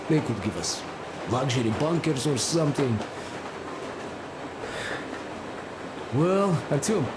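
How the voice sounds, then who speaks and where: An actor playing a plain-spoken, loyal, wary wanderer in a game, with a rambling, halting, colloquial way of talking. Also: male voice, a little high, close to an everyday voice. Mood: relaxed. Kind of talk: game cutscene dialogue. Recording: noisy, echoey, vehicle-like ambience behind.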